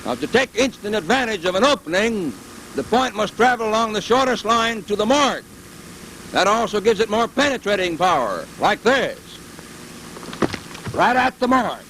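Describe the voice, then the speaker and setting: A man giving brisk, commanding military drill instruction with barked orders, on a vintage training film soundtrack. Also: sits high, big pitch swings, fairly bright tone. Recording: noisy vintage 1930s-40s training film.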